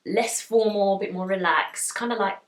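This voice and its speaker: A woman's voice: medium pitch, moderate pace. A young woman from Uganda, raised in the UK, talking casually and candidly to camera in a chatty vlog, with lots of ums. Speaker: style vlogger. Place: room at home lit by studio lights. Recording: roomy, slightly distant mic.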